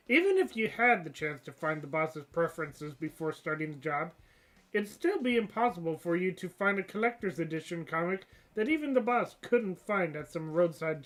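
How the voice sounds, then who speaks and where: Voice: mid-range voice, a bit bright. A stuffed-up channel host reading the part of a pushy young female detective in a game story, pressing a suspect with accusing questions. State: stuffed-up nose.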